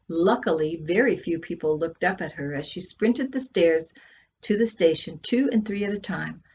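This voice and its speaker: A Canadian woman reads children's fiction aloud in an expressive storytelling style, leaning dramatically on key words. Her medium-pitched voice has a husky edge. The recording is dull and lo-fi. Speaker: children's author reading from own book.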